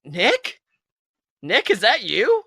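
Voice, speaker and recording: Man acting out a caring young rabbit policewoman, teasing, gently scolding, bright highish voice, cartoon fan story. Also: huge pitch swings, extremely slow, vocal fry, breathy edge, no echo, clean studio sound, full character voice.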